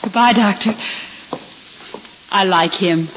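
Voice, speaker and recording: A woman's voice: medium pitch, slow pace, slight background noise, lightly acted. Anxious young American woman acted in muffled old radio drama. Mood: anxious, pleading. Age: young adult. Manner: hesitant, earnest. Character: anxious young woman patient blinded in an accident. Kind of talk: 1950s radio drama acting and first-person narration.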